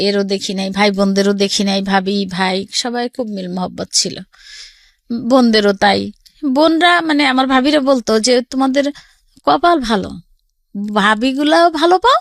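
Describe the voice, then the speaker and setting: A woman's voice: on the high side, dry recording, studio quality. A middle-aged woman with a Bangladeshi accent tells her life story casually and conversationally, often quoting family dialogue.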